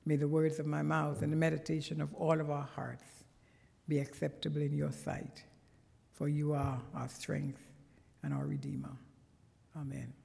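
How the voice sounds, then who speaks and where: A woman's voice: sits low, vocal fry, darkish tone. A woman from Trinidad with a Trinidadian accent, preaching a conversational sermon as a guest in a church, with earnest, emphatic bursts. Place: church sanctuary. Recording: long church reverb.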